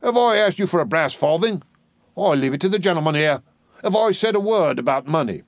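A man voicing an elderly working-class dustman in a play reading, expressive, rhetorical and wheedling. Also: fairly high pitch, brisk, no echo, studio quality, pitched above the performer's own voice, natural voice. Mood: wounded, pleading. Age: older.

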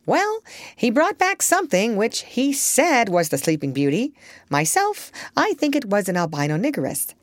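A woman voicing an elderly female parrot who chatters on with excitable gossip. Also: a little high, normal speed, no room sound, studio quality, voice pushed up. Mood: chatty. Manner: excitable, talkative, breathless gossip.

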